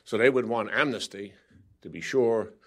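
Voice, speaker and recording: A man, a government official, answering questions at a press briefing in a measured way, restarting his sentences now and then. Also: lowish voice, a bit bright.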